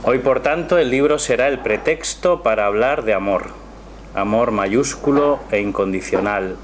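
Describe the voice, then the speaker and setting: A man giving a measured, reflective presentation of his book before an audience in a small cultural café. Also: mid-range voice. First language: not Catalan. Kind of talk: prepared presentation speech with poetry reading. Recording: noisy room ambience.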